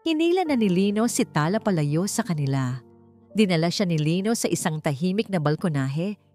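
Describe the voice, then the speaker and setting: A woman narrates a romance drama in a slightly low register. Her pitch moves expressively through the scripted prose, with faint music playing behind her. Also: sing-song, moderate pace, warm tone. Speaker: story narrator.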